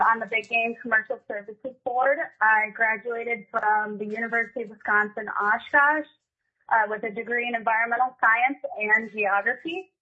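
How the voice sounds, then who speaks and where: A slightly hoarse woman with the flu, a board appointee calling in remotely to testify, polite and a little halting with many "um"s. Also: on the high side. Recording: tinny phone line.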